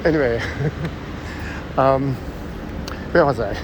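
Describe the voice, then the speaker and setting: A man with a Japanese accent, thinking aloud in a halting way, full of fillers, while walking along a busy city street. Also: mid-range voice. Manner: thoughtful, halting, many fillers. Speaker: vlogger. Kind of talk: musing monologue while walking. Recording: loud street traffic behind.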